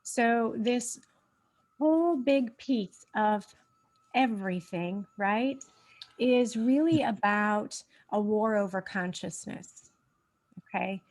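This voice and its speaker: A woman's voice: medium pitch, slow, a little mellow, rough recording. Stuffy-nosed woman hosting a spiritual talk. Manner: rambling, many fillers and restarts. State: stuffy nose. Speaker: host. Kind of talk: casual spiritual livestream talk.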